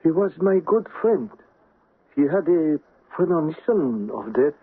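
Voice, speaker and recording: Formal, husky-voiced manservant. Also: male voice, fairly high pitch, big pitch swings, no echo, heavily acted. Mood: solemn. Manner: formal, measured, deferential. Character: formal, discreet male servant, grave and loyal. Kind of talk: 1970s radio drama acting. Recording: tinny narrow old radio recording.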